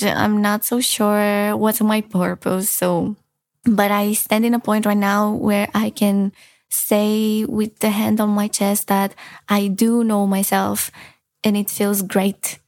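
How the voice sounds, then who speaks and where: A woman's voice: mid-range voice, dry recording. Earnest young woman, dry close voice. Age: 20s. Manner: earnest, frequent "like" fillers, repeats phrases for emphasis. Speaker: self-help vlogger. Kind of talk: casual motivational talk to camera.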